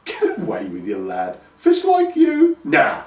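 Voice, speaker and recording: A man's voice: high-pitched, wildly swooping pitch, a little slow, far from the mic, voice pushed up, heavily acted. A man doing a flustered peasant mother. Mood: flustered fond. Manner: flustered, stammering. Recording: echoey room, voice from afar.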